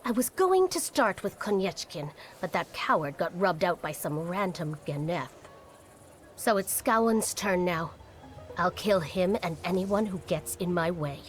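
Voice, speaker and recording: Blunt, lowish-voiced vengeful woman rebel. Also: animated, a little slow, faint music behind. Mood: vengeful, determined. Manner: blunt, accusing. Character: bitter, vengeful young female revolutionary, blunt and scornful. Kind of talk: story video game dialogue.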